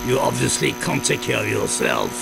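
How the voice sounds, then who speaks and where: A wary underworld boss with a heavily creaking, gravelly voice. His delivery is sardonic and moves widely in pitch. It is game cutscene dialogue over loud humming noise. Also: huge pitch swings, normal speed, full character voice. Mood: businesslike, guarded. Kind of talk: video game cutscene acting. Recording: loud hum and noise behind voice.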